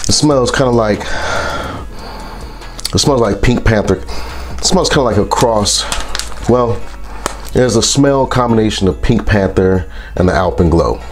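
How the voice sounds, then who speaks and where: A man with a congested, stuffy voice from a sinus infection, reviewing products in a relaxed, rambling vlog. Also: fairly low pitch, measured pace, long pauses, faint music behind. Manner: relaxed, rambling, with frequent fillers.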